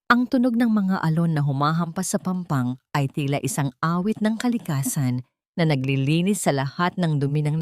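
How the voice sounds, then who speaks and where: A woman narrator with a fairly low voice tells a scripted drama. Her delivery is measured and literary, and the recording is dry and close, with little room sound. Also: dark tone, no room sound.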